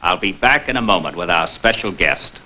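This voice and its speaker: A man's voice: deep voice, lively intonation, normal speed. A man hosting a 1950s television program, formally presenting and interviewing a guest. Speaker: television host. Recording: 1950s TV soundtrack with hiss.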